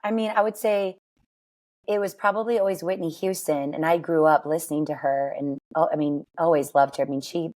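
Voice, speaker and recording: Raspy woman, forties, relaxed podcast guest, low-ish voice, chatty storyteller. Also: a little fast. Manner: chatty, laughs often, lively storytelling. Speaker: guest, worship singer. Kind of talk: casual interview chat.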